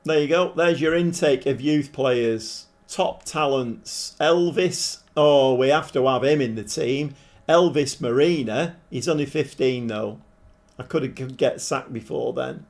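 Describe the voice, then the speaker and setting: Croaky man streaming a game. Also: mid-range voice, unhurried. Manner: chatty, thinking aloud, many restarts. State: hoarse, croaky voice. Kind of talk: casual live-stream commentary. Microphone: desk microphone.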